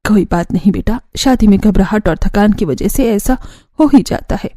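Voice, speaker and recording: Woman acting a gentle motherly mother-in-law, mellow voice. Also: medium pitch, no room sound, clean studio sound, lightly acted. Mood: reassuring. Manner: reassuring, motherly, measured. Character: kind, gentle middle-aged mother-in-law. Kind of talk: cartoon family story dialogue.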